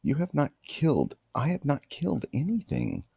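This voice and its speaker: A man's voice: fairly high pitch, huge pitch swings, normal speed, gravelly, mellow, husky, dry recording, clean studio sound, voice pushed up, full character voice. A man voicing a polite, hesitant, puzzled young girl in a children's storybook.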